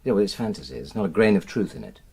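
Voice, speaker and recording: Defensive middle-class husband, earnest, slightly rough-edged voice, lively swings in pitch, radio drama. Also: male voice, very fast, heavily acted. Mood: uneasy, explaining. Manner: earnest, emphatic, self-justifying, hesitant under questioning. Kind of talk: naturalistic radio drama acting.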